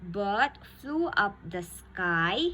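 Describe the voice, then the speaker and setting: A schoolteacher takes a vocabulary lesson for young children at a very unhurried pace. She explains each word slowly, repeats it, and rounds off nearly every phrase with a questioning "okay?". A faint hiss of noise runs underneath. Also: mid-range voice, very animated, sharp tone. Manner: slow and deliberate, repeats each definition, ends phrases with "okay?". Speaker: school teacher.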